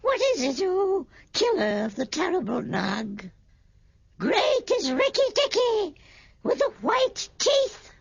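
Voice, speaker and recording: An excitable little bird, piping extremely high in a slow, swooping singsong. It is boastful and fluttery, a radio play creature on a dull old recording. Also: female voice, vocal fry, no room sound, exaggerated voice. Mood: exultant singsong. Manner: shrill, drawn-out singsong delivery.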